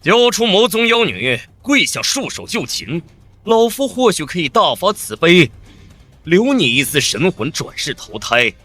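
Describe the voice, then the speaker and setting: A man's voice: sits high, sing-song, a little slow, fairly bright tone, soft background music, full character voice. A man as a wrathful old sect elder, wildly swooping voice. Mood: arrogant, threatening. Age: older. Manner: menacing, pompous, theatrical. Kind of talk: dramatic fantasy animated comic voice acting.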